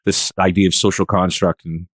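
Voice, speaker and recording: Male podcast host, very low register, casual and circling phrasing, dry recording. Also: flat delivery, brisk, no echo. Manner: rambling, repeats and rephrases himself.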